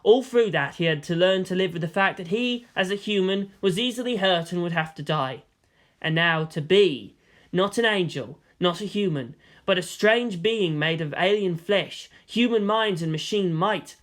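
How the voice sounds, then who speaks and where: A theatrical storyteller reads scripted fiction with great expression. The pitch moves in a lively, animated way, giving the prose a dramatic shape. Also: normal speed. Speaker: audiobook-style narrator of fan fiction.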